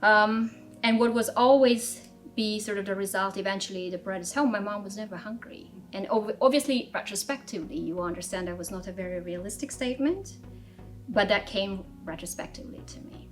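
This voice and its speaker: A woman's voice: mid-range voice, soft background music. Reflective woman from Armenia. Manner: measured, reflective, with frequent fillers and restarts. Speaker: interviewee, strategy director. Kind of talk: personal interview, storytelling. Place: office.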